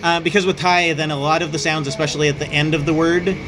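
A middle-aged man hosting a casual interview over drinks, talking in a halting way with many restarts and fillers. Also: a little high, little pitch movement, noisy background. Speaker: host. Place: busy bar at night, indoors. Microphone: small tripod mic on the table. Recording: loud music in a bar.

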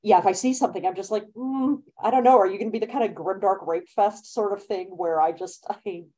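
A young adult woman talking on a video call from her bedroom, lively and quick to laugh as she leads the discussion. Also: medium pitch, low-quality recording. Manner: lively, laughing, speeds up when animated. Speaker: author and event moderator. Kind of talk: casual conversation on a video call. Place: bedroom at home. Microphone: laptop webcam mic on video call.

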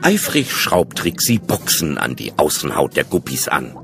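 A man's voice: rumbling low, huge pitch swings, normal speed, gravelly, fairly bright tone, soft background music. A man narrating a children's audio drama as its storyteller.

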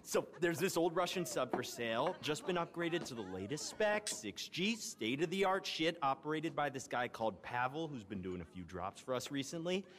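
A cocky young American rich kid from a crime family, pitching like a salesman in a game cutscene. His pitch jumps around freely and his voice rings in a big reverberant space. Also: expressive, moderate pace, hardly any pauses, a bit bright. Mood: eager, self-important. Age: young adult. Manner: cocky salesman patter, corporate buzzwords, swearing. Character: brash, entitled young crime heir with big plans. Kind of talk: video game cutscene acting.

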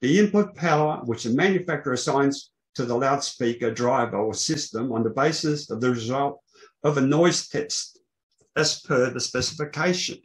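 An older man presenting technical material on a video call, explaining in a halting way. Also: mid-range voice, poor audio. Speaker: presenter, loudspeaker engineer. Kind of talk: technical presentation to an online meeting. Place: small indoor room, online video call. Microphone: video call microphone.

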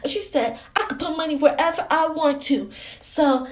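A woman's voice: sits high, normal speed, natural voice. A woman voicing an innocent, chatty little girl who tattles in rambling, naive childlike talk for a comedy skit. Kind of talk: comedy skit phone calls.

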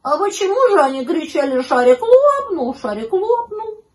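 A woman's voice: sits high, sing-song, a little slow, voice pushed up, exaggerated voice. A woman voicing a naive, trusting little male puppy in a children's storybook, childlike and plaintive. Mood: worried.

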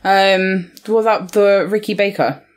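A woman's voice: mid-range voice, fairly even intonation, measured pace. A young woman co-hosting a podcast, chatting casually about films. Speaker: podcast co-host. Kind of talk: casual film review chat.

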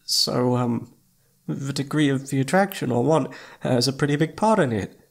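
A man's voice: mid-range voice, moderate pace, vocal fry. A man playing a shy, flustered young incubus roommate in an ASMR roleplay, hesitant and awkward, often trailing off. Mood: awkward, explaining. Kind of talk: ASMR roleplay audio drama.

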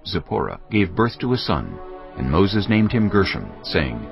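A man narrating scripture in a measured, solemn way. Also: low-pitched, sing-song, vocal fry. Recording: dull studio track under music.

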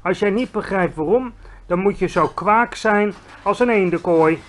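Man doing a big friendly giant, eccentric and excitable, loud exclamations, drawn-out emphatic words, high for a man, storybook reading. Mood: lecturing.

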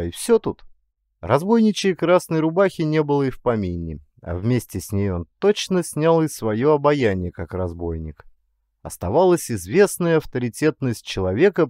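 A man reads a literary tale like a stage actor. His delivery is expressive, with an animated, middling-pitched voice that rises and falls a lot. The recording is dry and close. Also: no room sound. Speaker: audiobook narrator and actor. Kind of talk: expressive literary story narration.

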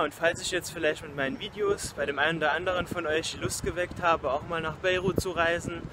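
A young man from Germany talking casually to the camera as a travel vlogger, outdoors at arm's length from the mic. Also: a little high. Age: young adult. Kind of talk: casual vlog talk to camera. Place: outdoors on a sunny waterfront promenade. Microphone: camera or phone at arm's length. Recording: noisy outdoor recording, city and traffic ambience.

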